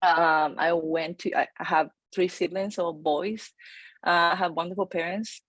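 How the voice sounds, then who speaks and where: Woman, low pitch, dry sound. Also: unhurried, dry recording. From Chile. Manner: energetic, with fillers and restarts. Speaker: real estate agent, guest. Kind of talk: casual interview storytelling.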